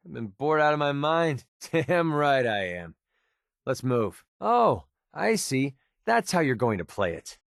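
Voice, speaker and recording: A man's voice: fairly high pitch, sing-song, unhurried, vocal fry, no echo, clean studio sound, heavily acted. A man voicing a cocky, sardonic young mercenary companion in a video game, casual and wry, loyal underneath. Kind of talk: video game voice acting, short companion lines.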